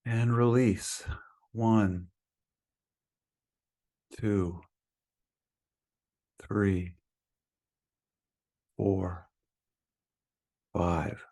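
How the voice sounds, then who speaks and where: A man leading a guided meditation as a teacher, speaking in a calm, soothing manner with long pauses between phrases. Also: on the low side.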